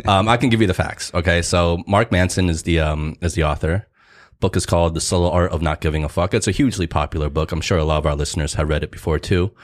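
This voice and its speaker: A man co-hosting a podcast, talking at length in a loose, conversational way. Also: low-pitched. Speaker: podcast co-host. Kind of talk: casual podcast chat.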